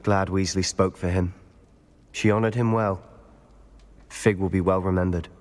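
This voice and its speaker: A man's voice: sits low, fairly even intonation, normal speed, lightly acted. A man playing an impassioned, stubborn teenage wizard in a video game, speaking in an earnest, insistent way. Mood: mournful, subdued. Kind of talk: dramatic video game cutscene acting.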